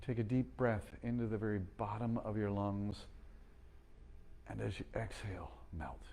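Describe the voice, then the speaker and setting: A man guiding a slow breathing meditation, speaking calmly and gently with long pauses. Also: on the low side. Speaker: meditation guide.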